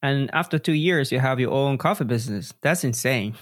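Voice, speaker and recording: A man's voice: mid-range voice, a little fast. A man hosting a podcast interview, reacting with easy enthusiasm and steering with leading questions. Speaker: podcast host. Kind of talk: casual interview.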